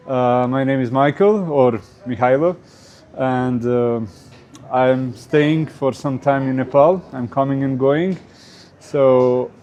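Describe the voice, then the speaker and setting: Man, Croatian accent, non-native English, mellow warm tone, hesitant, many fillers, interview guest. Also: medium pitch. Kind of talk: casual interview answers.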